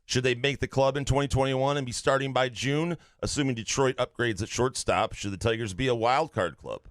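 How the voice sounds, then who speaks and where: A man's voice: mid-range voice, fairly quick, no room sound, studio quality. A man co-hosting a sports podcast, reading listener questions and chiming in with blunt jokes. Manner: blunt, wisecracking. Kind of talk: casual sports talk.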